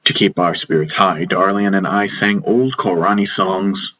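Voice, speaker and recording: A man's voice: low-pitched, steady tone, unhurried, bright, forceful tone. A man reading a scripted first-person story as an audiobook narrator, with music behind him. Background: background music.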